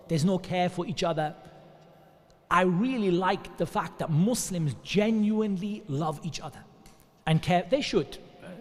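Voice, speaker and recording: A man from England giving an earnest, animated religious talk to an audience, telling stories with quick asides. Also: fairly high pitch. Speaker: Islamic speaker. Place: Islamic centre hall. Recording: long hall reverb.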